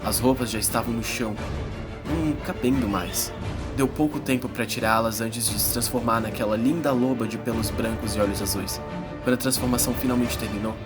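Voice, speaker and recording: A man's voice: mid-range voice, steady tone, brisk, lightly acted. A man playing an earnest young adventurer, narrating his perils in a dramatic, suspenseful first-person audiobook style. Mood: tense, awed. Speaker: narrator and protagonist. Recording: loud music bed under voice. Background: music.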